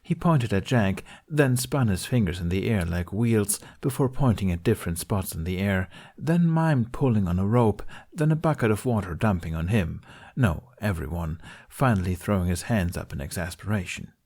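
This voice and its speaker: Guy with a lowish voice reading a fan fiction story out loud, storybook style. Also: expressive, moderate pace. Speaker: amateur podfic reader.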